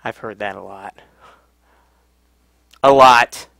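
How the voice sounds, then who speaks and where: Bright-voiced man doing game commentary. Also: medium pitch, slow pace, gravelly. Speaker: video game let's player. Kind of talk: casual gameplay commentary.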